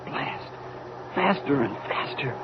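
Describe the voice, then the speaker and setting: A man's voice: medium pitch, lively intonation, highs cut off. A fearful crewman, noisy old radio. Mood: stunned. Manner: halting, breaks off in short phrases. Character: anxious younger male crewman, awestruck and fearful. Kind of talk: 1940s radio drama acting.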